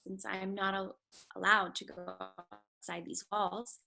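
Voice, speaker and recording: A woman's voice: medium pitch, vocal fry, bright, forceful tone, husky, exaggerated voice, low-quality recording. A woman acting a kind, headstrong young lady of a wealthy house, sheltered and earnest, in a play reading.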